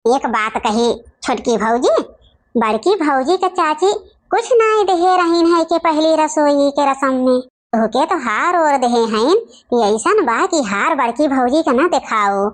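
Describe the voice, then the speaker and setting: A woman's voice: sits high, lively intonation, measured pace. A woman with an Awadhi accent voicing a meddling village gossip in a cartoon family comedy, insinuating and stirring quarrels in homely speech.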